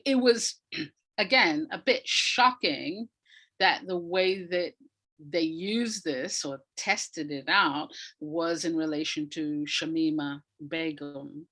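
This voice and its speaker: A woman's voice: sits low, fairly bright tone. A woman speaking conversationally as an interview guest, an educator who repeats phrases for emphasis.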